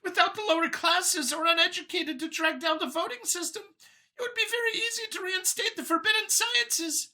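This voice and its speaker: A woman's voice: very high-pitched, normal speed, pushed and bright, voice pushed up, full character voice. A woman voicing a nervous, boastful female alien scientist from an audio story, whiny and gushing as she justifies her elitist views. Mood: nervous, whining. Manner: gushing, over-eager justifying.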